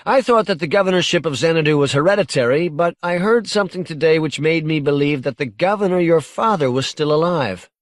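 A man's voice: on the high side, a little fast, hardly any pauses, no echo, lightly acted. Male narrator as visiting space lord, curious, courteous, formal, casually probing, dry audiobook sound.

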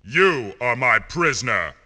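A man playing a menacing monstrous dark lord in a cartoon video game, commanding and furious with drawn-out threats. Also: medium pitch, sing-song, exaggerated voice.

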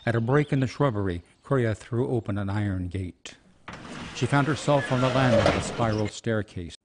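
A man's voice: lowish voice, moderate pace, a little hiss or hum. A man giving scripted, dramatic story narration for a radio play. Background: music.

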